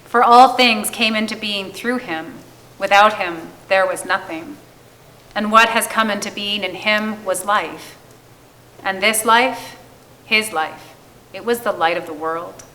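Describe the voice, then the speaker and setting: A woman reads scripted, poetic liturgy for a church service. Her voice is mid-pitched and notably bright. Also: a little slow, rough recording. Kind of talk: scripted poetic reading, shared among readers.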